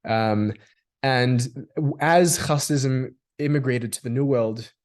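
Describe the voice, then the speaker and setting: A man's voice: medium pitch, slow. A man from Australia speaking as a guest in a reflective discussion, often repeating words as he builds long explanations. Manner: thoughtful, restarts and repeats words while building long sentences.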